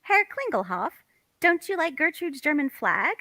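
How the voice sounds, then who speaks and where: Woman playing a bright-voiced, wistful wife. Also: sits high, very animated, moderate pace, dry recording, clean studio sound, full character voice. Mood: bright, polite. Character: melancholy young wife, wistful over faded fame. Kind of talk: live cold table read of a stage comedy.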